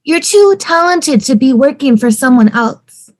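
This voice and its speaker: Woman playing an eager young wife, high voice. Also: animated, unhurried. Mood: eager, persuasive. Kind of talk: table read acting.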